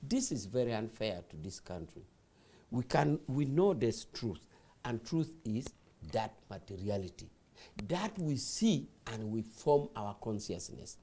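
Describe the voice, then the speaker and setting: A man's voice: lowish voice, very animated, measured pace, dark tone, slight background noise. A man, a party official on a talk show, defending his side in an insistent, lecturing way. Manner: insistent, lecturing, repeats phrases.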